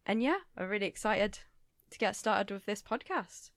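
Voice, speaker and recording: A woman's voice: mid-range voice, expressive, moderate pace, dry recording, studio quality. Cheerful young English woman. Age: young adult. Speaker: student podcast co-host.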